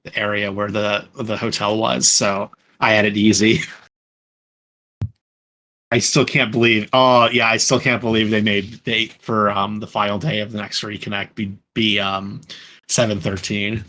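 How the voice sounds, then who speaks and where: Bright-voiced man chatting loosely, low pitch. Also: little pitch movement, moderate pace, low-quality recording. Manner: rambling, self-correcting, frequent "like". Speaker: podcast panelist and fan dub voice actor. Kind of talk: casual group chat.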